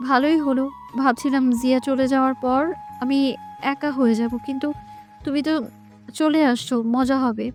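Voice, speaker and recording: A woman's voice: high voice, fairly even intonation, moderate pace, pauses often, close to an everyday voice. A woman playing a sweet but pouty and feisty young woman in a romantic audio story. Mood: cheerful. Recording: soft music behind the voice.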